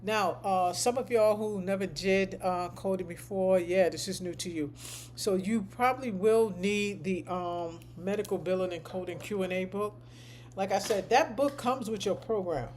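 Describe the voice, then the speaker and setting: A woman with a deep voice, a medical coding instructor, chatting casually to her class on a live stream, rambling and repeating points for emphasis. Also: normal speed, a little mellow, slight background noise.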